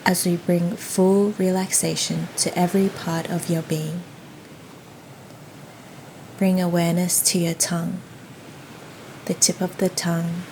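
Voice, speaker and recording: A woman leading a guided yoga nidra meditation in a soothing, gentle, unhurried manner. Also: on the low side, rough recording. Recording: faint steady ocean noise behind. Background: ocean waves ambience.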